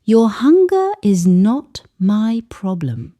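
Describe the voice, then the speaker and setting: A woman's voice: mid-range voice, sing-song, slow, warm tone. A woman storyteller voicing a cruel, arrogant grown son who is self-righteous and domineering, in a folktale. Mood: cold dismissal.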